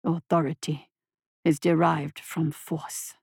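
Woman voicing a slow, rumbling old tortoise monster. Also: little pitch movement, creaky, a little mellow, husky, no room sound, clean studio sound, exaggerated voice. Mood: stern, commanding. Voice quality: rumbling, growling. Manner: slow, deliberate, dismissive pronouncements. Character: ancient hulking male tortoise monster, cruel, menacing mentor.

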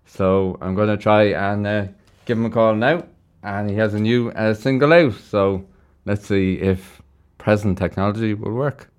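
A man's voice: lowish voice, unhurried, a little hiss or hum. A man with an Irish accent hosting a casual radio interview in a studio, chatty and encouraging. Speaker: radio show host.

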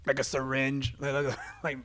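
A man's voice: mid-range voice, monotone, measured pace, a bit bright. A man in his thirties hosting a casual podcast chat, teasing his guests and laughing often. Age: 30s. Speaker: podcast host.

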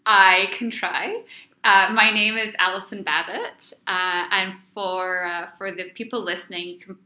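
Bright-voiced Scottish woman in a roomy recording. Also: medium pitch, steady tone, a little slow. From Scotland. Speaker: interview guest, lawyer. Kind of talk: conversational interview answers. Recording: slightly dull, roomy interview recording.

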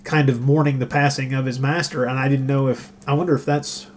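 A man's voice: mid-range voice, little pitch movement, a little fast, a little hiss or hum. A middle-aged man co-hosting a casual podcast, talking in a wry, joking way with rambling asides. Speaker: podcast co-host. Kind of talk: casual conversational podcast chat.

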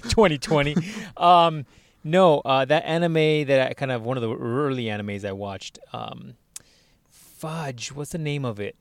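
A man with a noticeably nasal voice talks in a loose, animated way, cursing casually as he chats. The sound is dry and close, with no room around it. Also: mid-range voice, unhurried, no room sound. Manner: animated, swears casually, laughs easily. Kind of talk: casual chat. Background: sitting in a parked car.

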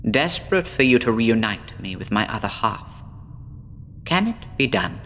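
A man playing a despondent, withdrawn woman patient in a horror audio drama, speaking in a brooding, deliberate, theatrical way. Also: fairly low pitch, sing-song, a bit bright, slight background noise, full character voice. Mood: resigned, desperate. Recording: long reverb with faint background ambience.

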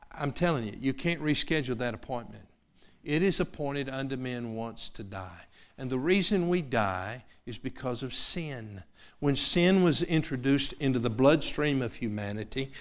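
A man, preaching a sermon. His delivery swings from loud, fervent preaching to quiet personal asides. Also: mid-range voice. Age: 50s.

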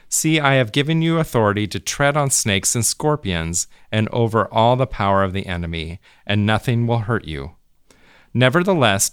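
A man with a fairly low, smooth voice reads scripture and devotional words. His delivery is measured and solemn, and the recording is dry and close, with little room sound. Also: dry recording. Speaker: church music director, scripture reader. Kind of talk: scripture reading, brief devotional and prayer.

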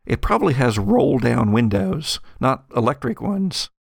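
A man working as game master, narrating a tabletop story in a halting, conversational, improvised way. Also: medium pitch, very animated, vocal fry, no echo, clean studio sound.

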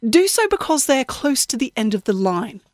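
A woman voicing a prim, eerie old woman who haunts funerals, speaking in a genteel, unhurried, knowing way. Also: fairly high pitch, sing-song, no echo, studio quality. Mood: calm, knowing.

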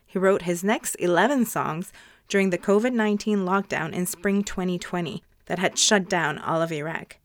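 A woman speaking as a podcast host, presenting to listeners and interviewing a guest. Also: mid-range voice. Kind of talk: scripted podcast introduction and sponsor reads, then interview questions.

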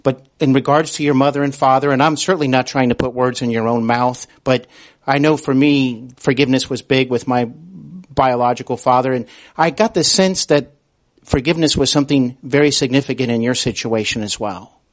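A man hosting a podcast, speaking in a polite, somewhat halting way. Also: mid-range voice, steady tone, no room sound. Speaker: podcast host. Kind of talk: interview talk and show announcements.

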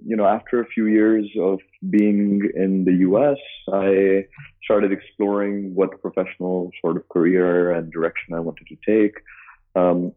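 A man with a very deep voice speaks over a thin, phone-like line. He is from Dubai and answers casually as a guest. He hesitates often, stopping and restarting his sentences. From Dubai, UAE. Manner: halting, many filler sounds and restarts. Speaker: guest, business co-founder. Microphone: phone call.

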